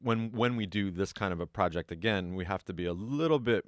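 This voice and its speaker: Man, lowish voice, dry recording, halting measured explanations, conversational panelist. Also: dry recording. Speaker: audio designer on a panel.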